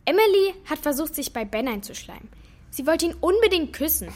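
A frank, cheeky eleven-year-old in a children's radio drama. Her high voice is very animated and expressive. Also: a little fast. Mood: chatty, frank. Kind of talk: German children's radio play acting.

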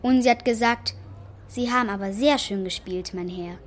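A woman's voice: fairly high pitch, moderate pace, close to an everyday voice. A female performer playing an earnest, insistent young girl in a German children's radio play. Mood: eager, playful.

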